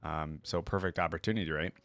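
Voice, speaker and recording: Low-voiced man reading and reacting, dry sound. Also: steady tone, quick pace, a bit bright, dry recording, soft background music. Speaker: reaction video host. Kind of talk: reading a story aloud with casual reaction commentary.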